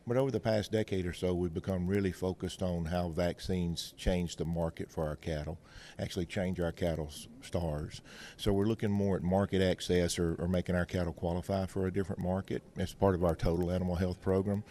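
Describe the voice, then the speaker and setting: A man's voice: deep voice, steady tone, a little fast, dark tone. A middle-aged man with a Mississippi Southern accent, explaining things in a folksy, plain-spoken way as an interview guest and veterinarian. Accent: Mississippi Southern American. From Mississippi, United States. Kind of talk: explanatory interview talk with anecdotes and analogies.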